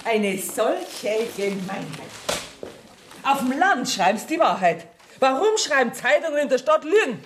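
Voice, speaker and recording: A woman's voice: high voice, big pitch swings, measured pace, slight background noise. Bossy Bavarian woman banker. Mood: indignant. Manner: commanding, indignant. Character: domineering, self-righteous woman banker giving orders. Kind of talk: radio play acting in dialect. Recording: 1970s radio play, music behind.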